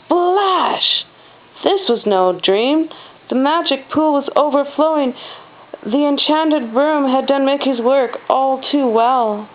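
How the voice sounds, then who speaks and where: Higher-voiced mother reading a storybook, dull recording. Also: animated, takes it slowly. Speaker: mother reading aloud to children. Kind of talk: storybook read-aloud narration.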